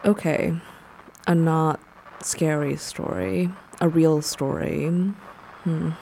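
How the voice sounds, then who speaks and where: A woman playing a blunt, deadpan lover of spooky things in an audio drama, speaking haltingly. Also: sits low, vocal fry, a little hiss or hum, exaggerated voice, low-quality recording. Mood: deadpan, disappointed. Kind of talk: naturalistic audio drama storytelling.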